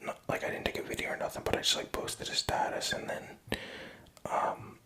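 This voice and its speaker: A man's voice: brisk, sharp tone. A young man whispering a rambling personal story, profane and self-mocking.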